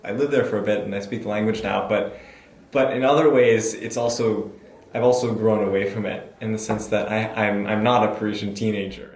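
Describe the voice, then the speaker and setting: A man's voice: fairly low pitch, fairly even intonation, a little fast, a little mellow, a little hiss or hum. A young man speaking thoughtfully in a documentary interview, with stumbling restarts. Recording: echoey room.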